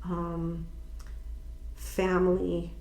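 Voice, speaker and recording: A woman giving a casual tarot card reading, speaking slowly, a little nasal and stuffy from allergies, musing aloud with ums and restarts. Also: fairly low pitch, monotone, gravelly, darkish tone, reverberant, poor audio. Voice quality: slightly nasal. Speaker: tarot reader.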